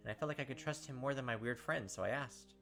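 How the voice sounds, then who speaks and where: A man's voice: medium pitch, fast talker, fairly bright tone, soft background music. A man reading visual novel narration aloud as a let's player, breaking off for casual asides.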